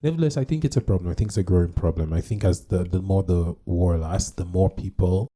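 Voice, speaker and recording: A man's voice: low-pitched, fast talker, warm tone. A native English-speaking man co-hosting a podcast, giving his opinions in a casual discussion and often correcting himself mid-thought. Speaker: podcast co-host.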